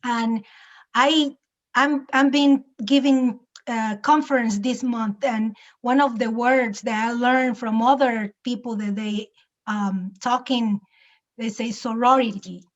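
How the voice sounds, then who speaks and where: Woman from Veracruz, Spanish first language, Mexican accent, halting and grateful, video call audio. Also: on the high side, fairly even intonation, dull audio. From Mexico, Veracruz coast. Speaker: conference guest. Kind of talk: casual chat on a video call.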